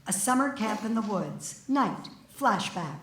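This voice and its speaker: A woman's voice: mid-range voice, lively intonation, unhurried. A middle-aged woman reading scripted stage directions as a narrator at a live table read. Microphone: stage microphone on stand.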